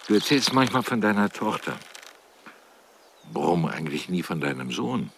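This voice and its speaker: An actor playing a sardonic, bookish, world-weary man with a gravelly voice and a wry, brooding manner in a radio drama. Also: deep voice, very animated, moderate pace, fairly bright tone, a little hiss or hum, exaggerated voice.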